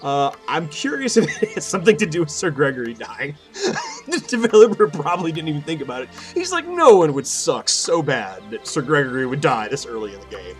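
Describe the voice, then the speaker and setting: Male let's play host, lively intonation, self-deprecating thinking aloud, game music underneath. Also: fairly high pitch, a little fast. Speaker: gaming video host. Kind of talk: casual let's play commentary. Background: game music and effects.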